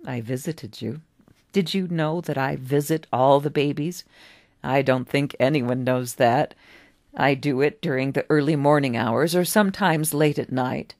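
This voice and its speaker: A woman voicing a gentle, wistful, very old man in a story, musing kindly with little rhetorical asides. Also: a bass voice, unhurried. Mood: fond, musing.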